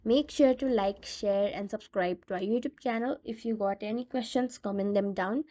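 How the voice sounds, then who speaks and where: A woman with a medium-pitched voice presents a tech tutorial. She narrates in a scripted, instructional style as the host of a how-to video. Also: normal speed, dark tone.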